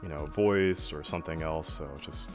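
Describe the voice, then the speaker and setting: A man with a deeper than average voice, chatting as a guest and telling lively stories full of fillers. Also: moderate pace, faint music behind. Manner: animated storyteller, filler-heavy, laughs easily. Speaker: guest artist. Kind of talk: casual video call chat.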